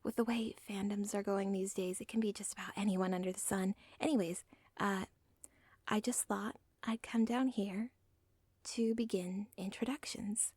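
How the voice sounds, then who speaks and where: A young woman voicing an obsessive villain's daughter in an ASMR roleplay, rambling in soft whispered asides that break the fourth wall. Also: mid-range voice, steady tone, moderate pace, husky, no room sound, lightly acted. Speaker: audio roleplay performer.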